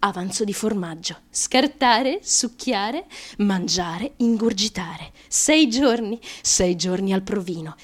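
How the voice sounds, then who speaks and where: A woman's voice: medium pitch, animated, slow, voice pushed down. A woman playing an obsessive, driven young daughter in a theatrical radio monologue, delivered as clipped lists in short bursts. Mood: grim, compulsive. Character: obsessive, driven daughter feverishly chanting her binge-eating.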